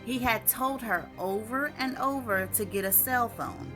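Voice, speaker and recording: A woman reading her own short story aloud as an expressive storyteller. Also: on the high side, a little slow, over music.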